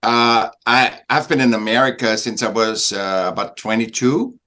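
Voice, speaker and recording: A man from Vienna with an Austrian accent, a native German speaker, talking casually as a podcast guest in a halting, emphatic way. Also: mid-range voice, bright, forceful tone. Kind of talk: casual conversational interview.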